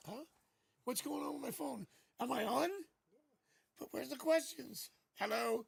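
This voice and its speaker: A man with a raspy voice hosting a casual live tarot stream, chatting playfully and joking through a new studio microphone. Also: extremely high pitch, a little slow, no echo.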